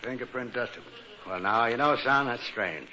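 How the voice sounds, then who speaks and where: A man's voice: medium pitch, lively intonation, moderate pace, pushed and bright. An actor playing a middle-aged father, formal and drily teasing, in a 1940s radio comedy. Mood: wry. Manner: formal, wry. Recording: muffled, hissy 1940s radio recording.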